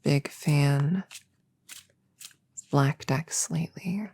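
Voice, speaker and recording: Young novice witch played by a woman, low-pitched and breathy, friendly but unsure of herself, hushed ASMR roleplay delivery. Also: drawn-out words, no echo, heavily acted. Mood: playful, relaxed. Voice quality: breathy, soft. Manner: hesitant, rambling, intimate chatter to a friend. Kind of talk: soft ASMR roleplay, partly whispered.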